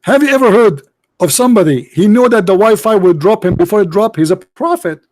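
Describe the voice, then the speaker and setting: A man with hosting a live-stream debate, mocking and interrupting with rapid repeated questions. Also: sits high, animated, a little mellow, dry recording. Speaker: livestream host debating callers.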